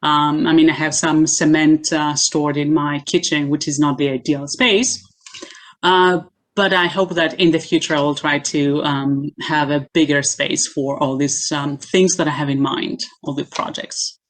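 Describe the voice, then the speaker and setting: A woman from Greece, a guest being interviewed over a video call, answering in a measured way with frequent hesitations. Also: sits low, flat delivery. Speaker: guest, assistant professor.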